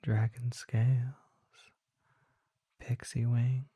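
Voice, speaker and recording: Performer acting a whispering, husky vampire apothecary. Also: medium pitch, little pitch movement, mellow, no room sound, heavily acted. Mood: gentle, courteous. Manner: formal, polite, unhurried, old-fashioned phrasing. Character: courteous, mysterious vampire apothecary merchant, softly musing. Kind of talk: whispered ASMR roleplay.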